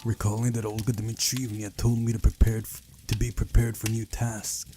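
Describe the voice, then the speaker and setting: A man's voice: fairly low pitch, flat delivery, moderate pace. A man reading a visual novel aloud and chatting casually as he plays, with music behind him. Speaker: host of a game playthrough. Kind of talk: casual playthrough chat and read-aloud narration of a visual novel. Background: game music.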